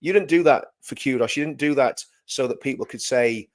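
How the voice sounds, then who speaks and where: A man hosting a live-stream chat. He talks in a warm, chatty way and drops in self-deprecating asides. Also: medium pitch, fairly quick.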